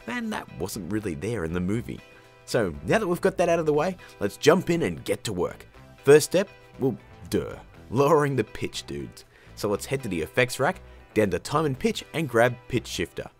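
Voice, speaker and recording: Very animated male tutorial host. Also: mid-range voice, moderate pace, husky, faint music behind. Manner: jokey, informal, slangy. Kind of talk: casual scripted software tutorial.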